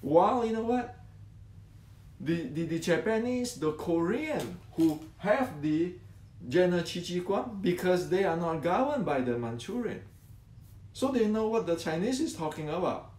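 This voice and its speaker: A man's voice: high voice, lively intonation, unhurried, a little mellow. A man in his sixties explaining martial arts to viewers, often repeating words and answering his own questions. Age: 60s. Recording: roomy echo.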